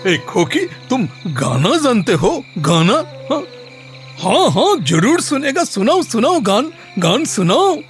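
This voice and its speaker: A hearty peddler, speaking slowly and pitched very high. His phrases are broken and repeated, full of affection, as in a radio play. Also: big pitch swings, soft background music, heavily acted. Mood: playful, eager. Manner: broken Hindi-laced speech, repeats words, hearty. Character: big hearty Afghan peddler, affectionate with a child. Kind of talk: audio story acting.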